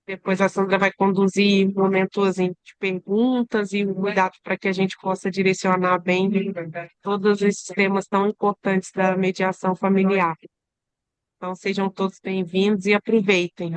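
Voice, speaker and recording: A woman's voice: medium pitch, smooth, no echo, low-quality recording. A woman moderating an online webinar, introducing the panelists and welcoming the audience in an orderly, formal way. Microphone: video call.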